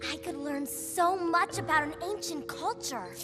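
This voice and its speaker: High, bright-minded cartoon girl. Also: measured pace. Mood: admiring. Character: bright, curious, cautious young female jungle friend. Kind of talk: cartoon voice acting. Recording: music behind.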